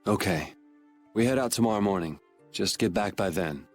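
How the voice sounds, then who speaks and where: A man acts out a young swordsman hero from a video game. His voice sits fairly low, and he talks in a blunt, offhand, dryly confident way. Light music plays behind him. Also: moderate pace, natural voice. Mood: resolute.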